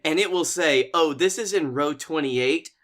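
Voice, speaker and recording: Bright-voiced man telling casual comic stories. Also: fairly high pitch, normal speed. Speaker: comedy podcast co-host.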